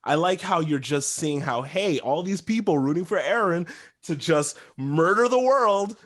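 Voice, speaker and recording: Slightly high-voiced man reviewing casually. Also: lively intonation, unhurried, fairly bright tone. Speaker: podcast co-host. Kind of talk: casual anime review chat.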